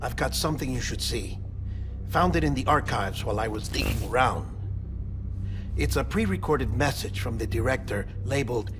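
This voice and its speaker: Guy doing calm brainy colleague, matter of fact exposition, mid voice, game cutscene music. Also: medium pitch, normal speed, lightly acted. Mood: skeptical. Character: calm, analytical male colleague briefing a courier. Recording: music behind the voice.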